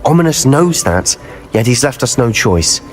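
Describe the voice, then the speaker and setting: A man's voice: mid-range voice, big pitch swings, moderate pace, soft background music, close to an everyday voice. An actor playing a bold, bookish young male wizard student in a video game, speaking. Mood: resolute. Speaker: game character.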